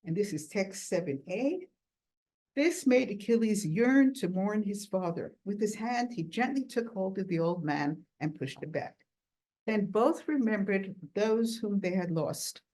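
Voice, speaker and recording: Measured woman giving an academic talk. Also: mid-range voice, lively intonation, dark tone, poor audio. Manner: measured and deliberate, slows to stress key words. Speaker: lecturer. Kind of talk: academic talk reading quoted texts aloud.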